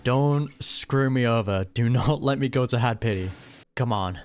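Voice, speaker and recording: A man's voice: mid-range voice, normal speed, slight background noise. A man giving casual, slangy live commentary as a gaming streamer, with wry asides. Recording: game music behind the commentary.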